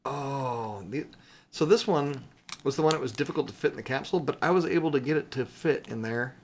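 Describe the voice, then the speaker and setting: A man's voice: medium pitch, a little fast, slight background noise. A man chatting casually and unscripted as a video host showing off items, rambling with mildly playful exclamations. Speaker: video host showing silver bullion purchases. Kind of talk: casual unscripted show-and-tell chat.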